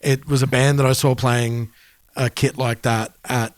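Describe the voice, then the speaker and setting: A man's voice: mid-range voice, little pitch movement, unhurried, dry recording. A man with an Australian accent chatting casually and colloquially as a music podcast co-host. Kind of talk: casual chat.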